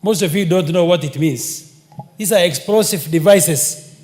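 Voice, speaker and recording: A man with a Kenyan accent, giving a measured parliamentary speech with fillers. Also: high-pitched, darkish tone. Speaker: member of parliament. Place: parliament chamber. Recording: long hall reverb of a chamber.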